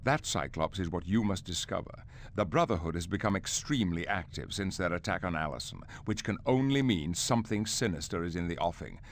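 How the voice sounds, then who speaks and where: Deep, measured academy mentor. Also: male voice, lively intonation, slight background noise. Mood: grave. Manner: measured, formal, authoritative. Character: calm older male mentor leading a hero academy. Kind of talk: video game cutscene acting. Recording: music behind the voice.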